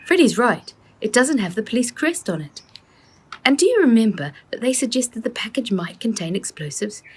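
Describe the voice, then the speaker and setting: A woman's voice: fairly high pitch, sing-song, normal speed, husky, pitched above the performer's own voice. A woman voicing an earnest, observant boy who reasons carefully in a children's storybook. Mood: suspicious, reasoning. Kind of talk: children's storybook read aloud.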